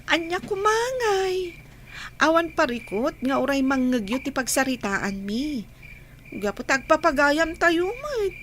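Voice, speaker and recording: Slow, high-voiced young woman in love, acted. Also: sing-song, a bit bright, a little hiss or hum, exaggerated voice. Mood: mildly reproachful. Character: young village woman in love, gentle, slightly anxious. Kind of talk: Ilocano radio drama acting.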